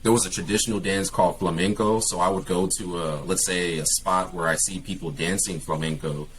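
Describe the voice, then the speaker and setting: Deep-voiced man, halting casual talk. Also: fairly even intonation, fairly bright tone, slight background noise. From California. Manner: hesitant, many fillers and restarts. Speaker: guest, English teacher.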